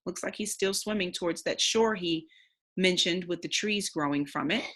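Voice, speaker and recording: A woman's voice: on the low side, moderate pace, fairly bright tone. A woman reading a storybook aloud expressively, in the instructive, simple manner of a teacher with young listeners.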